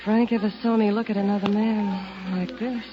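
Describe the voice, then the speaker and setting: An actress playing a sultry, coy nightclub singer who flirts for show in a 1940s radio drama. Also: female voice, medium pitch, steady tone, moderate pace, noisy background, natural voice. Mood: coy, teasing. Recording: dull old 1940s radio recording.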